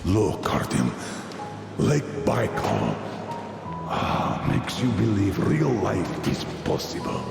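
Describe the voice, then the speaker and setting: A calm, philosophical man in a game hallucination, gravelly and swinging in pitch as he coaxes. His words hang in heavy echo over music. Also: full character voice. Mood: coaxing, wistful. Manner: slow, coaxing, philosophical. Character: calm, deep-voiced man beckoning in a dying man's visions. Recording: very echoey long reverb, loud music behind.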